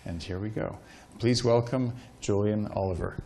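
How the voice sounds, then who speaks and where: Older man introducing a speaker, halting. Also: fairly low pitch, creaky, very noisy. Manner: halting with many fillers. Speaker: event host. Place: lecture hall. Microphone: handheld mic.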